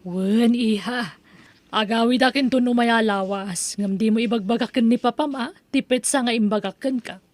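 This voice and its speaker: A woman voicing a mother coming home from abroad who speaks in a brisk, secretive way. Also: a little high, darkish tone, no room sound, lightly acted. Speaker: voice actor. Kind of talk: audio drama acting.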